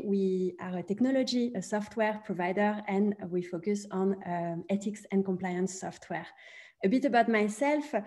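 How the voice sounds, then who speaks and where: A woman with a French accent introducing herself as a panelist on a webinar, polite and measured with frequent fillers. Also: mid-range voice, dark tone. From France.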